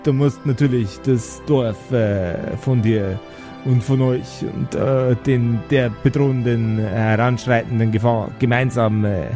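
A man voicing a bumbling dwarf village elder who talks in halting, convoluted officialese, full of hesitations. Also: mid-range voice, fairly even intonation, dark tone, faint music behind, natural voice. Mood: flustered, pompous. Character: long-winded, bumbling dwarf village elder, a stammering politician.

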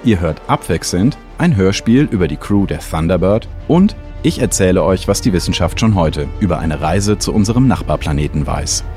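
A man's voice: sits low, animated, moderate pace, darkish tone, soft background music. A man hosting a science podcast, presenting a scripted introduction addressed directly to listeners. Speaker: podcast host, astrophysicist and science journalist.

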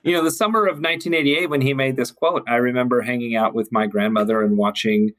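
A man as a podcast guest, reflecting in conversation with halting, deliberate and emphatic phrasing. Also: mid-range voice, fairly bright tone.

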